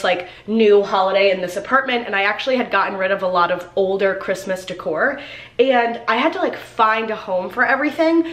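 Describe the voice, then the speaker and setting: A woman's voice: mid-range voice, fairly bright tone. A woman with a stuffy, congested nose, chatting upbeat as a lifestyle vlogger while decorating her apartment kitchen. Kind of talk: casual decorating vlog chat. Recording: roomy echo.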